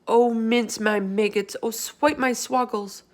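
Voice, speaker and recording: A woman acts out a kindly storybook giant with a folksy, ungrammatical way of talking. The giant is excitable and easily distressed, full of exclamations and whimsical invented words. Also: fairly high pitch, slow pace, pitched above the performer's own voice. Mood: anguished. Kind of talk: children's book character in a read-aloud.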